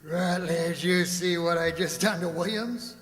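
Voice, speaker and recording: An actor playing a boastful, hard-drinking frontier tavern bully in a radio drama, with coarse, folksy, swaggering speech. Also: male voice, sits high, unhurried, fairly bright tone. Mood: belligerent, boastful. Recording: echoey hall, reverberant room.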